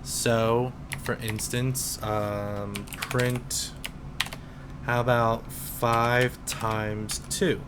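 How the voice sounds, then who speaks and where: A man in his twenties, a teacher, calmly explaining programming in a casual screen-recorded tutorial with some fillers. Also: mid-range voice, noisy background. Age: 20s. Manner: slow, relaxed explaining with fillers.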